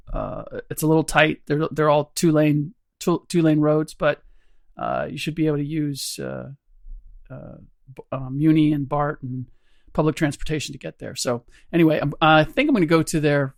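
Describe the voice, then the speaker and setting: A middle-aged man hosting a podcast in casual chat, his voice hoarse and a little rough, rambling with restarts and small chuckles. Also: a little high, no echo, studio quality. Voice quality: slightly rough voice. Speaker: podcast host.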